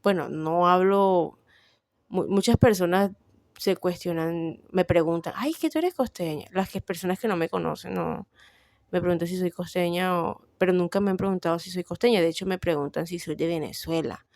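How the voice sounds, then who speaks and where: A woman's voice: on the low side, moderate pace, a little mellow, dry recording, studio quality. A young woman with a Colombian Caribbean coast accent, chatting casually about herself and thinking aloud with frequent fillers. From Barranquilla, Colombia. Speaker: vlogger. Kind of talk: casual personal chat.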